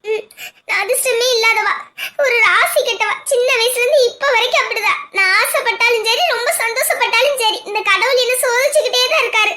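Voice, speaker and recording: A woman's voice: extremely high pitch, brisk, bright, forceful tone. A woman playing a young wife who weeps and calls herself unlucky, in an amateur family drama. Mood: bitter, teary. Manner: sobbing, self-blaming lament. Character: tearful young wife, sure she is unlucky. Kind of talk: amateur domestic drama acting.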